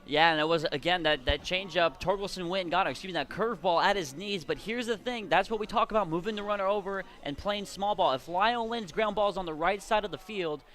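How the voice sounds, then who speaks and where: A man's voice: high-pitched, fast talker, hardly any pauses, fairly bright tone. A man giving conversational, explanatory color commentary on a live radio baseball broadcast. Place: at a baseball game. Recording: clear ambient noise behind voice.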